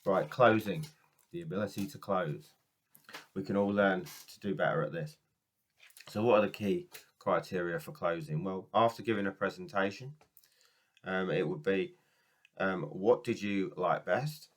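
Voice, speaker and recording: A man from the UK talks casually to camera about business, his voice very low and husky from a cold. He rambles a little, with fillers and restarts. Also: unhurried, long pauses. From United Kingdom. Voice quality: husky from a sore throat. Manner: rambling, many ums and restarts. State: has a cold and sore throat. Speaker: network marketing business coach.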